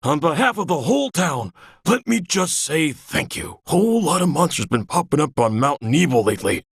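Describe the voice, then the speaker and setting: An actor playing a friendly village watchman and hunter in a video game, with a gravelly, husky voice. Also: fairly high pitch, big pitch swings, moderate pace, dry recording, studio quality, exaggerated voice. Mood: confiding, grateful. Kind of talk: video game character dialogue.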